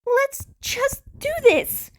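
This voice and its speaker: A woman's voice: very high-pitched, lively intonation, extremely slow, pitched above the performer's own voice, exaggerated voice. A woman voicing a determined, self-effacing teenage boy rescuer who speaks earnestly and briefly in an audio story.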